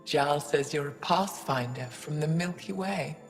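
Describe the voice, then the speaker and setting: A woman playing an aged alien holy leader in a video game, solemn and measured, weary but defiant. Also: low-pitched. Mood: weary, subdued. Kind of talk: video game cutscene acting. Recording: loud background music.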